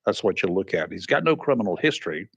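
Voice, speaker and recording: A man's voice: low voice, very animated, quick pace, breathy edge, no echo, lo-fi sound. A man hosting a local radio talk show. He rambles folksily with frequent restarts and sounds congested. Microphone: studio microphone.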